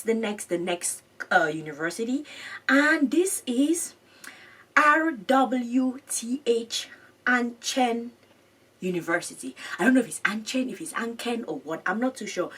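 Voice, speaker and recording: A woman's voice: mid-range voice, a bit bright, slightly rough, distant mic. A woman hosting an education channel, chatting through web pages on screen, repeating her points and laughing at her own pronunciation.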